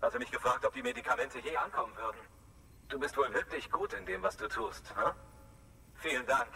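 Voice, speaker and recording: A man's voice: mid-range voice, animated, a little fast, gravelly, sharp tone, distant mic, a little hiss or hum, exaggerated voice. An actor playing an anxious, relieved male scientist in a dubbed video game, speaking earnestly and confidingly. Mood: grateful. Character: anxious, relieved male scientist hologram, devoted to his research.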